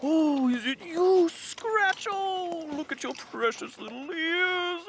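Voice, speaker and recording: A man's voice: very high-pitched, animated, extremely slow, gravelly, fairly bright tone, slight background noise, exaggerated voice. A man playing a courtly, fussy, dog-doting lawyer in a comedy audio drama, with florid, formal diction. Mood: doting, gushing. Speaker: voice actor.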